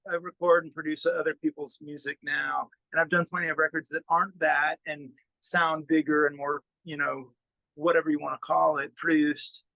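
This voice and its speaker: A man's voice: fairly high pitch, gravelly, sharp tone, dry recording, low-quality recording. A middle-aged man, a musician, chatting casually and informally in an interview in a self-effacing way. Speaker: musician and record producer being interviewed.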